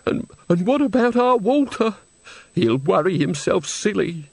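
A man's voice: sits high, sing-song, measured pace, vocal fry, breathy edge, no echo, voice pushed up, full character voice. A man reading a storybook, voicing a frightened, tearful little old woman who speaks in a fretful, plaintive, sniffling way.

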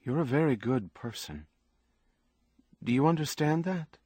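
Male narrator as young woman, audiobook character, lifted pitch, needy, trusting, pleading, fragile. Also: lively intonation, measured pace, dark tone, dry recording, studio quality. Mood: earnest, admiring.

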